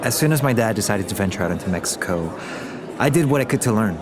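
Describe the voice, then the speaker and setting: A man playing a young Korean business heir, a sincere and gently teasing suitor in a romantic audio drama. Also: fairly low pitch, expressive, quick pace, a crowd in the background, lightly acted. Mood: warm, sincere.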